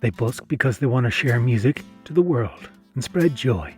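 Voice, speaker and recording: A man narrates a documentary from a script. His mid-pitched voice moves up and down with plenty of variety. Soft guitar music sits behind him. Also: expressive, normal speed.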